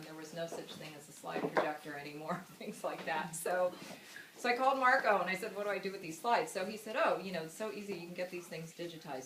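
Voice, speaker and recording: A woman in her fifties gives a memorial speech and tells her family stories with easy laughter and the odd "um". Her voice sits a little low but sounds bright and forward, and it echoes around the room. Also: a little hiss or hum. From United States. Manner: warm storytelling with frequent laughs and fillers. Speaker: daughter giving a memorial speech.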